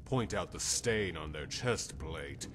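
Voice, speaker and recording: A man's voice: deep voice, lively intonation, slow pace, background noise. An actor playing a haughty, condescending man in a fantasy video game, scornful and commanding. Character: haughty, condescending man lecturing a servant boy. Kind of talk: video game dialogue acting. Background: loud music.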